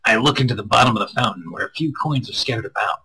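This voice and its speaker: A man with a husky, strained voice reading a visual novel aloud expressively, with crude joking asides and stumbles over lines. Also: medium pitch, animated, racing, bright, forceful tone, poor audio. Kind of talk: expressive read-aloud with casual banter.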